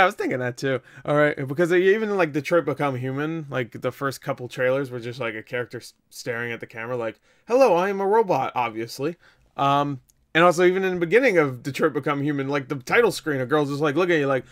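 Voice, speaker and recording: A young man giving casual reaction commentary, exclaiming, swearing and tossing in joking asides. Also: a little high. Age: young adult. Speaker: reaction video host. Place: small indoor room at home.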